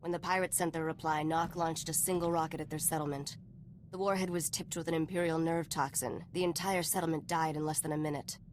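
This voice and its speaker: A woman's voice: deep voice, fairly even intonation, brisk, a little hiss or hum, lightly acted. A woman voice-acting a cool, knowing smuggler informant in a video game, wry and composed as she tells stories.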